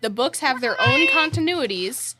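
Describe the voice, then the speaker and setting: A woman's voice: high-pitched, lively intonation, moderate pace, pushed and bright, no echo. A woman in casual banter, explaining things in a blunt, insistent, bossy way. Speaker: podcast panelist.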